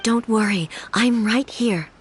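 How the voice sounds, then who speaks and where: A woman voicing a composed, regal ruler in a video game, speaking in a measured, formal, solemn and authoritative way. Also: fairly high pitch, a little hiss or hum. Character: composed, regal female Shogun, almighty ruler of lightning. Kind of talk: video game dialogue acting.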